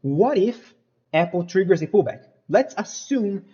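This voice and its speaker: A man's voice: high-pitched, expressive, unhurried, warm tone, highs cut off. Brazilian-accented man explaining stock charts. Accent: Brazilian Portuguese. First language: Portuguese. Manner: emphatic, repeats phrases, addresses viewers as guys. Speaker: stock market analyst. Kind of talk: technical analysis walkthrough over a chart.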